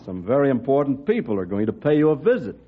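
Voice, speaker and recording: An actor playing a genial, authoritative older colonel with a measured, formal manner in radio drama. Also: male voice, mid-range voice, big pitch swings. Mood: genial, official. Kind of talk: old time radio drama acting. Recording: dull old-time radio recording.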